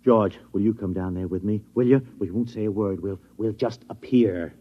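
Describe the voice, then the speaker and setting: An actor playing a flustered security chief who stammers and repeats himself, in a 1950s radio drama. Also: male voice, lowish voice, moderate pace, natural voice. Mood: scheming. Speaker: security chief at an atomic laboratory. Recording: dull old radio recording.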